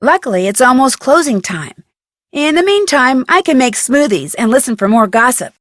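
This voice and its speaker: A woman's voice: sits high, expressive, normal speed, a bit bright, dry recording, studio quality. A woman voicing a curious, plucky young female detective in a video game, musing aloud expressively.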